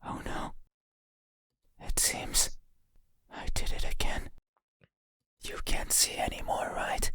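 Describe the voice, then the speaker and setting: An actor voicing a creepy, intimate bedside monster in a horror game, pleading and menacing in a breathy, insistent whisper. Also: pushed and bright, no echo, studio quality. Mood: mournful, eerie. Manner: slow, insistent, eerily deliberate.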